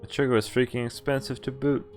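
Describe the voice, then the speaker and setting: A man's voice: mid-range voice, flat delivery, fast. A man streaming a game, reading its story text aloud casually, stumbling over the odd word and breaking off to comment. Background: game music and sound effects.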